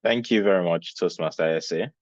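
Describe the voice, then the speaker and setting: A man's voice: low-pitched, no room sound. A man formally and carefully hosting an online contest. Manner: formal, measured. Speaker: contest chair. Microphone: video call. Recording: dry, dull video call audio.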